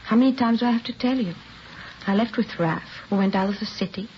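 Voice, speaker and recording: A woman's voice: a little high, fast talker, close to an everyday voice. An actress playing an evasive, weary young mother under questioning in a vintage radio crime drama, defensive and pleading. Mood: defensive, impatient. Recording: dull, noisy vintage radio recording.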